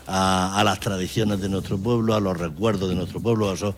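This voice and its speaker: Man as mayor, low voice, formal, earnest delivery. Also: fairly even intonation, fairly quick. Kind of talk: interview answer.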